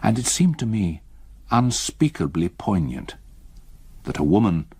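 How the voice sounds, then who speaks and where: An English actor playing a reflective gentleman narrating a ghost story in a radio drama, measured, literary and sombre. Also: low-pitched, sing-song, husky, no echo, heavily acted. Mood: sombre, haunted. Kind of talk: first-person scripted narration, radio drama.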